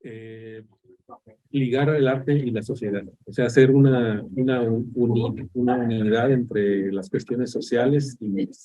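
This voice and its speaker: A man's voice: medium pitch, steady tone, measured pace, warm tone, low-quality recording. A man with a Mexican accent, an artist speaking hesitantly and repeating words.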